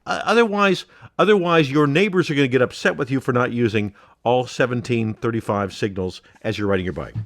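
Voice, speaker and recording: A man's voice: medium pitch, fairly quick, no echo, studio quality. A man co-hosting a podcast in casual comic banter, riffing with mock exasperation and repeating phrases for emphasis. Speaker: podcast co-host.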